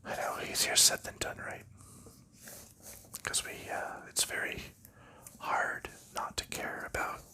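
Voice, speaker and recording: A man chatting softly in a whisper for an ASMR video, rambling and chuckling lightly now and then. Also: slow, pauses often. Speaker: ASMR creator.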